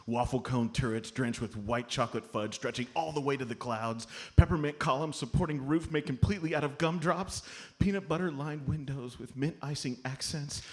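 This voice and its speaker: A man's voice: medium pitch, normal speed, hardly any pauses, lightly acted. A man playing a cheerful, optimistic entertainer turned sleuth in a live comedy radio play. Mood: dreamy wonder. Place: live on stage at a comedy club. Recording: noisy live comedy stage recording.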